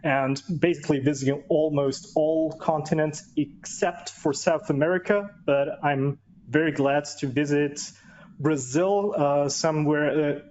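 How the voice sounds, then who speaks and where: A man's voice: a little high, darkish tone, dull audio. A man speaking English as a non-native speaker, introducing himself in a chatty, self-deprecating way on a webinar panel. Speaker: cybersecurity executive and panelist. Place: based in Vienna.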